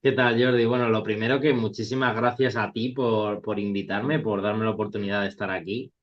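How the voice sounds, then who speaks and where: A man's voice: on the low side, fairly even intonation, fairly quick. A man from Cuba speaking casually as an interview guest, recorded in dull lo-fi sound. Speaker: interview guest, trail hiker. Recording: dull lo-fi call audio.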